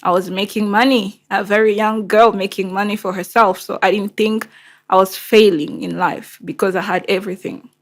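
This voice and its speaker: A woman's voice: medium pitch, steady tone, moderate pace. Candid Rwandan woman host. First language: Kinyarwanda. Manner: candid, conversational, emphatic with rhetorical questions. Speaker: show host. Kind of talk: personal storytelling to camera.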